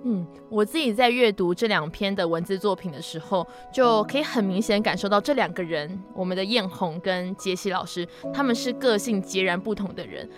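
A woman's voice: medium pitch, brisk, few pauses, music in the background. A woman, talking conversationally as a podcast co-host. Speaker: podcast co-host and post-production editor.

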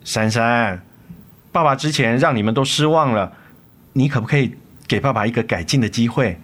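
A man's voice: medium pitch, normal speed, natural voice. Man as tender, remorseful middle-aged father. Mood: coaxing, remorseful. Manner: tender, coaxing. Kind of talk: radio drama acting. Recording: music under the voice.

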